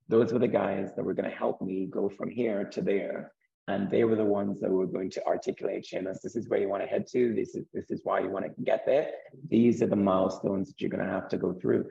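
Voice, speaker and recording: A man being interviewed, talking earnestly about his path and often restarting his words, with a croaky voice. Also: lowish voice, warm tone, muffled recording. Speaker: guest, property investor. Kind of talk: interview conversation.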